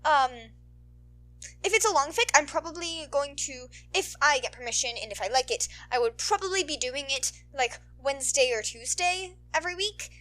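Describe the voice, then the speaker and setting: A woman's voice: high voice, expressive, normal speed, sharp tone. A woman podfic narrator who talks in an informal, playful way. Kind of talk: animated story narration and casual outro chat.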